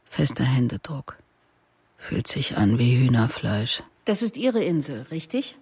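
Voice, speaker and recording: A woman's voice: rumbling low, very animated, measured pace, darkish tone, breathy edge, dry recording, exaggerated voice. A woman playing an observant, dry police detective in a radio crime drama, speaking in a clipped, matter-of-fact way. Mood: probing. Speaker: actress playing the lead detective and narrator. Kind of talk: radio crime drama, terse inner monologue and questioning.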